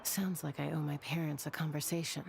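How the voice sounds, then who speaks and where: A woman voicing a quiet, grieving young adult in a game, subdued, with noise behind. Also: deep voice, fairly even intonation, brisk, vocal fry.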